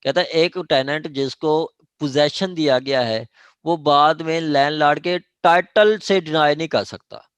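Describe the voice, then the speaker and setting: A man's voice: fairly high pitch, a little slow, smooth, no room sound. Pakistani man lecturing, in a dry recording. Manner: explanatory and repetitive, with frequent check phrases; switches between Urdu and English legal terms. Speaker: law lecturer at a judicial academy. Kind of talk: informal law lecture.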